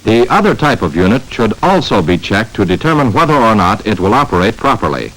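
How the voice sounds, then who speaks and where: An American man narrating a 1950s instructional training film in a measured, emphatic, scripted manner, on a vintage film soundtrack. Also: fairly low pitch, very animated, a bit bright, poor audio.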